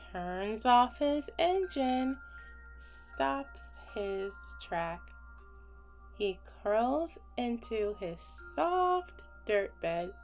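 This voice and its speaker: A woman reading a children's picture book aloud as a bedtime story, expressive and gentle, with deliberate phrasing. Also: mid-range voice.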